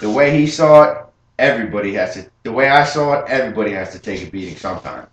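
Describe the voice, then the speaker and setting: A young man playing an adult gangster who proudly recalls his youth, telling it earnestly and rising with excitement, as a memorized film monologue. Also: on the low side, fast, dull audio, pitched above the performer's own voice, lightly acted. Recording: roomy room with faint hum.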